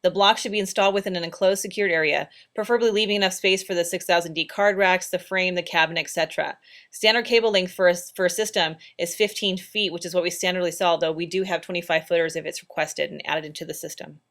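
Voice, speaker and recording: A woman's voice: fairly low pitch, fairly even intonation, fast talker, a bit bright. A woman narrating instructional training slides in a plain, practical, step-by-step way. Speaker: training presenter.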